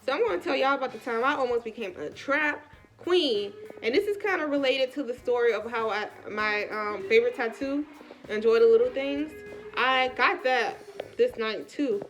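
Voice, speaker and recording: A woman's voice: fairly high pitch, normal speed, soft background music. An American woman vlogger with a congested, stuffy voice, chatting casually through a personal story in colloquial speech and acting out the dialogue as she goes. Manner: colloquial, animated retelling with quoted dialogue.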